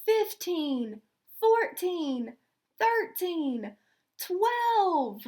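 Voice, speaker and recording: Slow, high-voiced woman teacher reading aloud. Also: very animated, a little mellow. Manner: deliberate, explanatory, counts aloud step by step. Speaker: elementary school teacher. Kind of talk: read-aloud storytelling with teaching asides.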